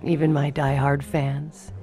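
An actress playing a world-weary nightclub torch singer in a noir radio drama, wistful, restrained and stubborn. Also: female voice, low-pitched, little pitch movement, measured pace, mellow, faint music behind. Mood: wistful, subdued.

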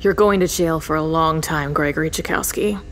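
A woman acting low-voiced, scornful homicide detective. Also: fairly quick, heavy background noise, natural voice. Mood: angry, scornful. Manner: stern, sarcastic, foul-mouthed. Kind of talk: audio drama acting.

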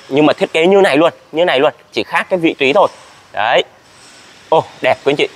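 An eager young estate agent walks through an empty new house, phone in hand, pitching to his viewers. His voice sits a little high, and he repeats his points and keeps politely calling on the audience as he goes. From Vietnam. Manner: upbeat, repetitive, addresses viewers politely. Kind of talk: house tour sales pitch.

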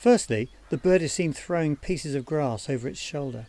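A man giving scripted documentary narration for a wildlife training film in a measured, explanatory manner. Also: on the high side, lively intonation, dark tone.

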